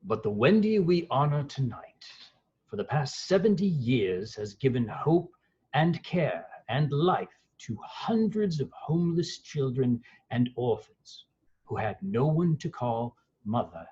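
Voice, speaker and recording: A man's voice: mid-range voice, big pitch swings, unhurried, darkish tone, slightly rough, full character voice. Guy doing a proper old british lord speech, very up and down, muffled lo-fi audio. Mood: stately, ceremonial. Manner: formal, stately. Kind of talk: formal speech in a script table read.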